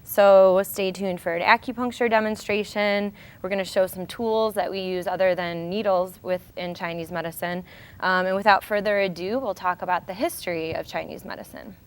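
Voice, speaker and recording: Female host, American, smooth clear voice on the low side, informal educational presenting. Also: steady tone, normal speed. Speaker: show host, acupuncturist.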